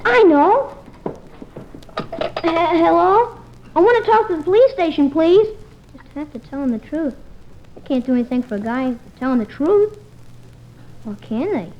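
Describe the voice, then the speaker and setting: Casting: bright-eyed schoolboy schemer, high swooping voice, boyish slang, radio comedy-drama, muffled vintage recording. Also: animated, measured pace, long pauses, slight background noise. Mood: anxious scheming. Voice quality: high, youthful voice. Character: eager, imaginative young boy sick in bed, anxious schemer.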